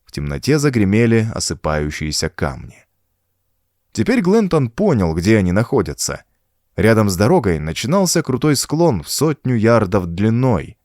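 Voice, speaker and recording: Expressive male audiobook narrator, lively pitch swings. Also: normal speed, no room sound, clean studio sound. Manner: expressive, suspenseful storytelling. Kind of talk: dramatic scripted narration of a horror story.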